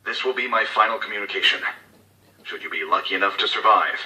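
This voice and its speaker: A man's voice: fairly low pitch, fast talker, sharp tone, echoey room, recorded from a distance, low-quality recording. An actor playing a cold, scheming male corporate executive who gives covert orders in formal, clipped, authoritative speech for a game audio log. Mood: urgent, grim.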